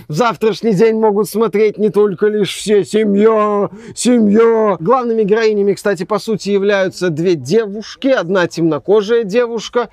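Young male reviewer, high voice, sarcastic, rambling, dry close sound. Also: normal speed, hardly any pauses, a little mellow, no room sound. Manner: sarcastic, rambling, trails off often. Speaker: game reviewer. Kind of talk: casual spoken game review.

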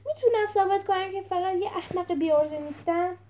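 A woman's voice: extremely high pitch, warm tone, a little hiss or hum, pitched above the performer's own voice. A woman voicing a young male zebra gladiator in a storybook, an earnest, combative, self-doubting underdog. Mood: anxious self-doubt.

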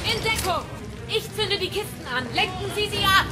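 High-voiced commanding rebel woman over loud music. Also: moderate pace. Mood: urgent, focused. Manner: urgent, terse commands. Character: commanding female rebel leader in Victorian London.

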